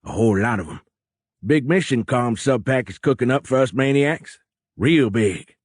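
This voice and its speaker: Male narrator as gruff Cajun submarine captain, raised pitch, loud, domineering, folksy drawl, war novel. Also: lively intonation, normal speed, no echo, studio quality, lightly acted. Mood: boisterous, eager. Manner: folksy, blustering, colloquial drawl.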